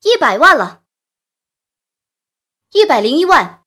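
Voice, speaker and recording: Woman acting an excited, high-pitched auctioneer. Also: slow pace, full character voice. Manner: loud, rousing calling of bids.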